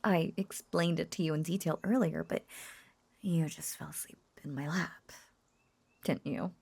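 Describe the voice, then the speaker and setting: A woman acts out a possessive yandere witch in an intimate audio roleplay. Her voice is low-leaning and husky, slipping into breathy half-whispers. She takes her time, coaxing and cooing with sweetly unhinged devotion. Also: unhurried, full character voice. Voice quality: soft, breathy.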